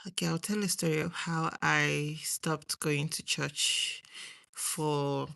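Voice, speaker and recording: A woman's voice: sits low, fairly even intonation, takes it slowly, creaky, a bit bright. A young woman with a Nigerian accent chatting casually to viewers on a livestream, rambling and trailing off between thoughts. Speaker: livestream host.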